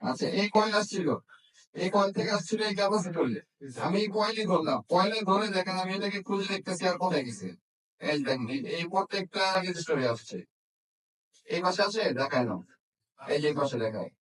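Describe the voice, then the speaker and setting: High-pitched male instructor, echoey and distant. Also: lively intonation, brisk, fairly bright tone. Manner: checks understanding with frequent questions. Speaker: phone repair instructor. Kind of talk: hands-on classroom demonstration. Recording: echoey room, distant microphone.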